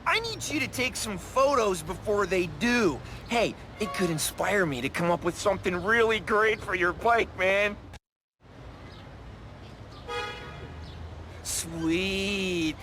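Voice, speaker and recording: High-pitched, excitable biker buddy. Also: male voice, unhurried, hardly any pauses, background noise. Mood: eager. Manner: casual, slangy, animated. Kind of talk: video game cutscene acting.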